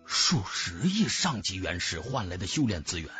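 A man's voice: low-pitched, big pitch swings, moderate pace, a bit bright, faint music behind. A man narrating a fantasy audiobook in a dramatic, scripted storytelling style.

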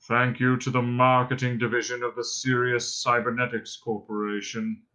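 A man voicing a gloomy, bored, self-pitying robot in a droning, muttering, sarcastic deadpan, reading from a storybook. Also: medium pitch, moderate pace, natural voice. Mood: bitter sarcasm.

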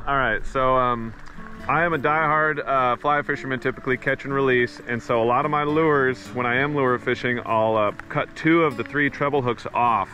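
A man's voice: mid-range voice, fairly even intonation, normal speed, sharp tone, faint music behind. A young man hosting a fishing vlog outdoors in the rain, talking casually and cheerfully about the catch. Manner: easygoing, enthusiastic about fish. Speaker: fishing vlogger host.

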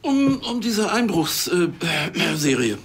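A flustered, stammering police officer. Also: male voice, a little high, very animated, slow, background noise. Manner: stammering, hesitant, obsequious. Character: flustered, evasive male police officer, awkwardly ingratiating. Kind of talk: TV crime comedy acting.

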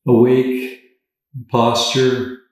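A man guides a meditation in a gentle, level voice of medium pitch. He speaks very slowly, leaving space between phrases. The sound is strongly reverberant, as if he sits some way from the microphone. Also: little pitch movement. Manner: gentle, unhurried, with long pauses between phrases. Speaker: meditation teacher. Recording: very echoey room, distant from mic.

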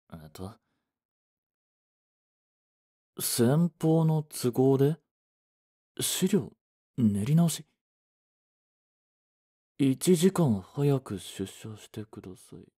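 A man's voice: medium pitch, darkish tone, slightly rough, heavily acted. A man playing a frustrated young working boyfriend in a romantic audio drama, speaking in blunt, casual masculine language. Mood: exasperated. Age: young adult.

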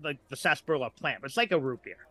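A man's voice: fairly high pitch, lively intonation, very fast, sharp tone. A man talking casually as a podcast guest, informal, with trailing asides. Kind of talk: casual chat.

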